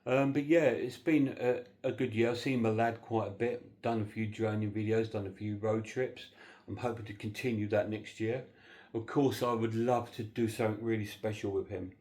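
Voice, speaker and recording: Middle-aged vlogger, low and mellow, halting and sincere, slightly congested. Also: male voice, fairly even intonation. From southern England. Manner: rambling, earnest, hesitant with many fillers. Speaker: horror film channel host. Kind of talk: casual holiday video message.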